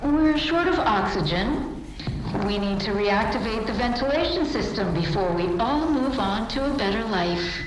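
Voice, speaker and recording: A woman's voice: fairly high pitch, lively intonation, normal speed, noisy background, lightly acted. An actress playing an imperious, sardonic female scientist with a condescending, teasing manner in a video game cutscene. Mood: wry, teasing. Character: sardonic, imperious female scientist, mockingly sweet and self-amused. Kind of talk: dramatic game cutscene acting. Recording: echoey space with music behind. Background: music.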